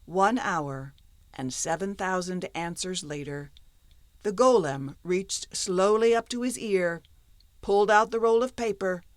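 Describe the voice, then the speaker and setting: A woman narrating a folktale as a storyteller, with expressive, dramatic phrasing. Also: fairly low pitch, unhurried, no echo.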